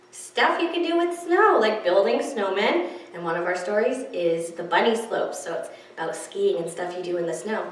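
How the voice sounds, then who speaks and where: A woman leading a children's library story time, reading aloud in a sing-song way and speaking directly to young listeners. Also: mid-range voice. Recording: very echoey room, distant mic.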